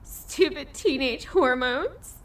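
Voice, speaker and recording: A woman's voice: high-pitched, expressive, takes it slowly, fairly bright tone, full character voice. A woman playing an upset, sullen teenage girl in an audio drama.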